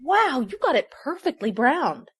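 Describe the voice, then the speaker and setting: A woman's voice: high voice, big pitch swings, measured pace, slightly rough, exaggerated voice. A woman playing an incredulous kitchen companion and announcer in a comic podcast promo.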